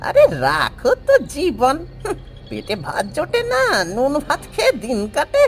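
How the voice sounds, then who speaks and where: A woman's voice: high-pitched, wildly swooping pitch, a little slow, heavily acted. A woman voicing a scheming male village henchman who talks in a sly, sneering way in a cartoon story. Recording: soft background music.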